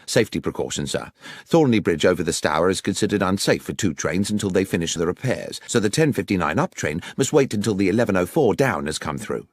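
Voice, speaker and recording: A man's voice: deep voice, lively intonation, brisk, dry recording, clean studio sound. A man voicing a neat, orderly country station master who answers politely and plainly. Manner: polite, deferential, plain-spoken.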